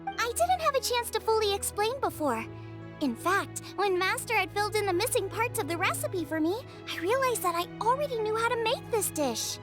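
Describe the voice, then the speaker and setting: A woman's voice: very high-pitched, sing-song, moderate pace, over music. A woman voicing a cheerful, eager young girl cook in a video game, speaking in an upbeat, earnest way. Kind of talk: animated game voice acting.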